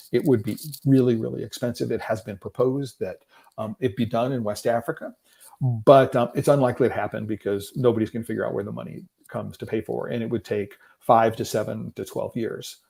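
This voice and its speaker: Gravelly man lecturing casually. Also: mid-range voice, steady tone, fairly quick, warm tone. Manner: thinks aloud, many "right?" and "um" fillers, rhetorical questions. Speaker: livestream host.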